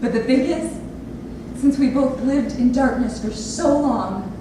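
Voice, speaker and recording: A woman's voice: sits high, unhurried. An actress playing an earnest, intense grown daughter of an abusive father in a staged play reading. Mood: pleading, quiet. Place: theatre lab room with an audience area. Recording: very echoey room, distant mic, noisy.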